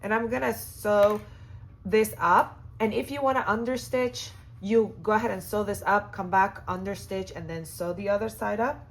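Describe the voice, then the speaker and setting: A woman hosting a sewing tutorial, chatty and upbeat with lots of fillers, her voice hoarse and cutting in and out. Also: medium pitch, steady tone, moderate pace, a little hiss or hum, poor audio. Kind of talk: casual how-to demonstration.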